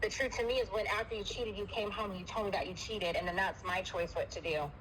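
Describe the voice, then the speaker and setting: A woman's voice: mid-range voice, fairly even intonation, fast talker, a bit bright. A woman in a heated personal argument on a phone call, speaking emphatically and repeating herself to press her points. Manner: emphatic, repetitive, talks over the other speaker. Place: phone call with noise behind. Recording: noisy phone call recording.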